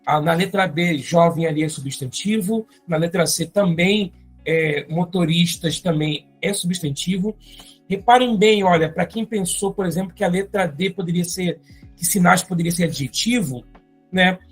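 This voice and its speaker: A teacher with a Brazilian Portuguese accent, going step by step through an exam-prep grammar lesson and often adding quick check-in tags. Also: mid-range voice, breathy edge, faint music behind. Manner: explains step by step, often adding tag questions.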